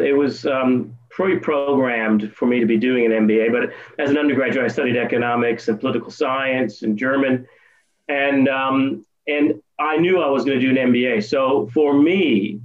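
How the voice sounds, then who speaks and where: American-born guy in his 50s, ums a lot, restarts his sentences, muffled call audio. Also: mid-range voice. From United States. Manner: hesitant, many ums and restarts. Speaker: guest. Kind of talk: casual interview over a call.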